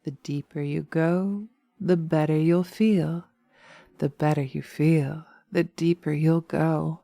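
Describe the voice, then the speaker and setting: A seductive, dominant witch acted by a woman speaks in a lowish, very soft and mellow voice. She is slow and coaxing, teasing with a sinister sweetness, and often slips into whispers. The sound is dry and intimate, close to a binaural mic. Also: steady tone, creaky, no echo, exaggerated voice. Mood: hushed, hypnotic. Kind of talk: breathy binaural hypnosis roleplay audio. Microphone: close binaural microphone.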